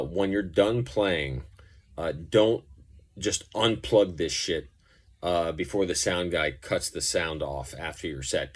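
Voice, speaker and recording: A man with a low-pitched voice talks through a gear demonstration as a musician would. His delivery is informal and loosely explanatory, wandering from point to point in a conversational way. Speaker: pedal reviewer and musician. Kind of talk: casual gear demonstration talk.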